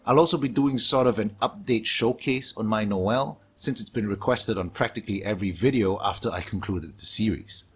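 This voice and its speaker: A man speaking Singaporean English in a fairly low, husky voice. He reads a scripted gaming voice-over at a steady, measured pace. His s sounds hiss, and a low pump hum runs under the recording. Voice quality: strong sibilance, low hum from aquarium pumps. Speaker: gaming YouTuber. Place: home hobby room with aquariums.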